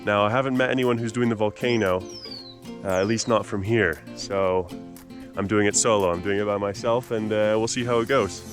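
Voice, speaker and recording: A man's voice: on the low side, steady tone, normal speed, music in the background. A man from Canada narrating a casual travel vlog outdoors on a mountain dirt road, chatty and upbeat, with frequent "uh" fillers. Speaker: travel vlogger.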